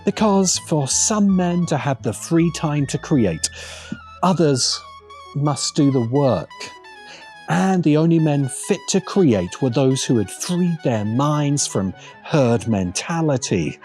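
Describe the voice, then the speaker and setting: A man narrating a scripted video essay in a deliberate, emphatic manner with pointed pauses. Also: on the high side, expressive, darkish tone, soft background music.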